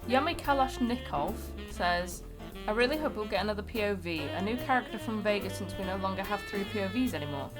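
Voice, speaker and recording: A woman's voice: mid-range voice, animated, brisk. A woman co-hosting casually, reading comments aloud and chatting with dry, sweary joking. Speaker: co-host reading out viewer comments. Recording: music clearly playing behind. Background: background music.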